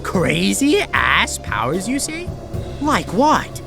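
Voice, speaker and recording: Skeptical, teasing young male sidekick , acted by a man, slow theatrical drawl, very animated pitch, music behind. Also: vocal fry, full character voice. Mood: curious, teasing. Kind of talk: visual novel fan dub acting. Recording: music under the voice.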